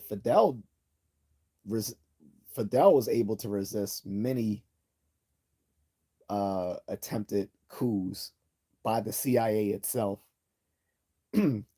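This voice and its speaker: A man's voice: on the low side, warm tone. Rambling man reading livestream chat. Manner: rambling, with frequent restarts and throat clearing. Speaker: livestream host. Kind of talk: casual livestream chat, reading viewer comments.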